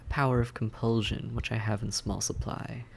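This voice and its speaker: A man playing a suave, polite vampire in an audio roleplay, courteous and measured, with a softly teasing menace. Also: fairly low pitch, level tone, vocal fry, a little hiss or hum. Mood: smug, menacing. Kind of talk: scripted audio roleplay acting, addressed to the listener.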